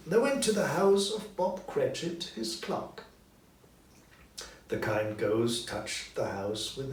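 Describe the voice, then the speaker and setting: Expressive male storyteller with animated pitch. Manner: dramatic, clearly phrased. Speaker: narrator, audiobook reader. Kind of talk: expressive scripted storytelling for language learners. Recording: roomy, distant, faint background noise.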